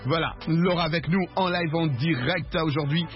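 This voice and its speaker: A man hosting a live call-in radio show from a studio, with lively, playful banter. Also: on the high side, moderate pace. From New York. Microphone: studio microphone. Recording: dull broadcast audio with music.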